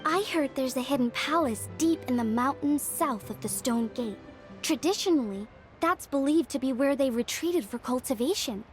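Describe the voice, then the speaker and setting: High-voiced, learned young lady. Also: expressive, soft background music. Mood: polite. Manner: measured, formal, explanatory. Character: polite, learned young woman explaining old legends. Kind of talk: anime game voice acting.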